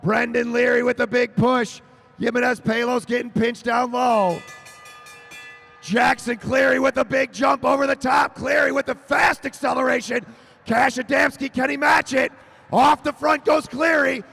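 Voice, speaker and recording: A man calling a track cycling race live, rising to shouts as the sprint builds. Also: very high-pitched, normal speed, creaky, breathy edge. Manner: excited, shouting names over the finish. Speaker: race announcer. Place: velodrome over a PA.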